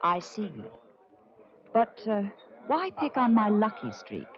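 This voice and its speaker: A woman's voice: medium pitch, huge pitch swings, slow. An American actress playing a cool, guarded nightclub singer in 1940s radio drama, poised and clipped as she questions. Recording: dull old radio recording, faint music.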